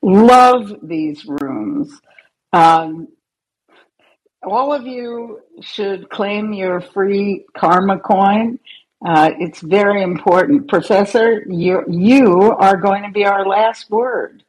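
A host with a mid-range voice moderates an online discussion at a very slow pace. The manner is conciliatory and a little hesitant, in casual conversational language. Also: medium pitch, rough recording. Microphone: remote app call.

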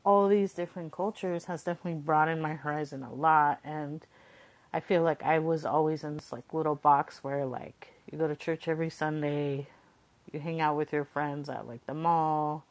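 A woman's voice: sits low, steady tone, moderate pace, poor audio. A young woman from Southern California, reflecting casually and conversationally, peppering her talk with "like" and checking in with "right?". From Southern California, Coachella Valley. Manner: informal, conversational, frequent 'like' and tag 'right?'. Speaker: podcast speaker.

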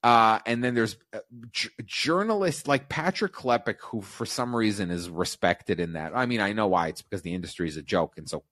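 A man's voice: fairly low pitch, animated, a little fast, hardly any pauses, fairly bright tone, no echo, clean studio sound. A man hosting a casual, opinionated podcast chat, speaking emphatically with swearing and repeating himself for effect. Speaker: podcast host.

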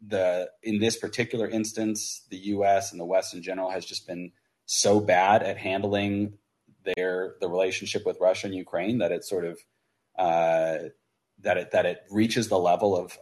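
A man calling in to a talk show, laying out his view in a measured way and hedging with "sort of". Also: low voice, steady tone, darkish tone. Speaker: caller.

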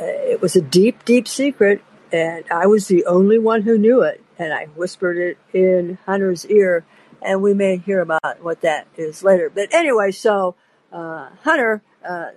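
A woman's voice: fairly low pitch, rough recording. An older woman hosting a podcast, telling long, digressive anecdotes in a chatty way and breaking off to laugh. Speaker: podcast host. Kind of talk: rambling anecdotal storytelling.

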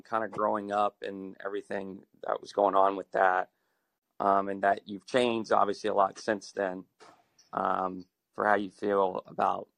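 Low gravelly man, hesitant. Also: a bit bright, no room sound, poor audio. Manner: hesitant, many ums and restarts. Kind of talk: casual podcast chat.

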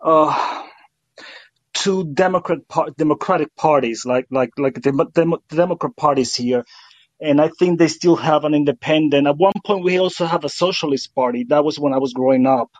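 A man, calling in with a halting, word-searching question. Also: fairly high pitch, no room sound. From Puerto Rico. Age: 50s. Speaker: caller. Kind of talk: call-in question. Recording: dull lo-fi call-in line.

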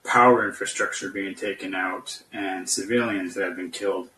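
A man talking as a podcast guest, discussing politics in a halting, hesitant way, searching for words. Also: low voice, fairly even intonation, pushed and bright, slight background noise. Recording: roomy, echoey, distant from the mic.

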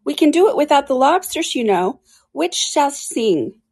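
Woman voicing a high-pitched, mournful sea turtle creature. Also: sing-song, unhurried, darkish tone, exaggerated voice. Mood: earnest. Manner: sighing, solemn, emphatic delivery.

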